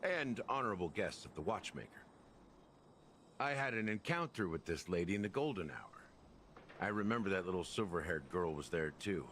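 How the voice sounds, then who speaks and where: An actor playing a laid-back, sardonic, world-weary investigator in a game, with a casual, wry delivery. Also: male voice, sits low, lively intonation, brisk, fairly bright tone.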